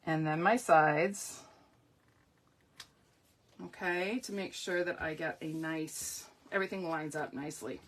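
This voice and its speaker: A woman hosting a live papercraft tutorial, talking through each step and greeting viewers by name, her voice going a little croaky. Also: medium pitch, sharp tone. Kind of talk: live-stream craft demonstration.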